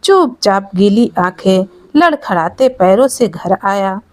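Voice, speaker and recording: A woman narrating a scripted moral folk tale as a storyteller in an expressive, dramatic manner. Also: medium pitch, slow pace, no echo.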